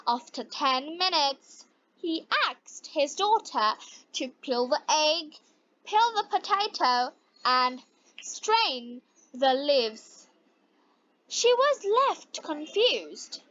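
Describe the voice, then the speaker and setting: A woman narrates a scripted lesson for language learners, speaking in a deliberate, carefully spaced way on a slightly dull recording. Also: high-pitched, sing-song, a bit bright. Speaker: English teacher and story narrator.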